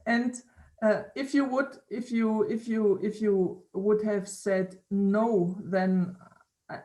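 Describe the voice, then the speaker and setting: A woman interviewer on a video call who speaks haltingly, repeating words and laughing often. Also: mid-range voice, fairly even intonation, warm tone. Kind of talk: casual conversation.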